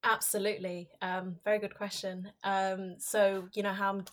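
A woman's voice: mid-range voice, little pitch movement, normal speed, fairly bright tone. Reflective young woman from Hong Kong. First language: Cantonese. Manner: reflective, many fillers and restarts. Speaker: podcast guest, researcher. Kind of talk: candid conversational interview.

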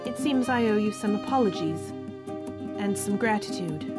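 A woman playing an earnest, tender young gentlewoman in love, in a formal 1940s radio romance style. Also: mid-range voice, expressive, moderate pace, natural voice. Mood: hesitant, earnest. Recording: violin music under the voice.

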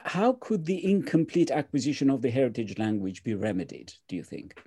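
An interviewer asking questions in a hesitant, careful way, with many pauses and fillers. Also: medium pitch, sing-song, dark tone, husky. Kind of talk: conversational interview.

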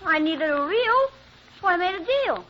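A woman's voice: very high voice, big pitch swings, moderate pace, sharp tone, exaggerated voice. A woman playing an eager, pleading young son in a vintage radio comedy, on an old recording. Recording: muffled, noisy old-time radio recording.

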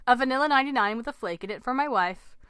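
A woman's voice: sits high, animated, quick pace, a bit bright, clean tone, no room sound, clean studio sound, pitched above the performer's own voice. A woman voicing an eager, chummy family dad who coaxes emphatically in a story reading. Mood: friendly. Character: eager family dad growing confused and unsettled.

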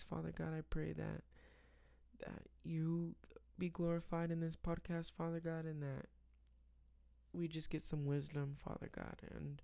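Tired podcast host, raspy and gravelly, mid-pitched, rambling faith talk full of ums. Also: warm tone. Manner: rambling, many fillers and restarts. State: tired, late at night. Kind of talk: casual faith podcast with prayer.

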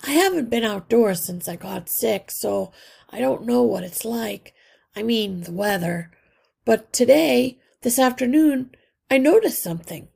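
Woman as a serious, measured little mouse boy. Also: a little high, lively intonation, gravelly, darkish tone, husky, pitched above the performer's own voice, heavily acted. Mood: earnest. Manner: earnest, measured explaining. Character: thoughtful young mouse boy, sickly, serious, calm. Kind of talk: children's storybook read-aloud.

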